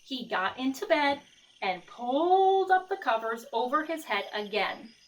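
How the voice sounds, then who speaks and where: A woman reading a storybook aloud to children, gently pausing to explain and ask questions. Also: high-pitched, very animated, far from the mic, rough recording. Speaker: storybook reader.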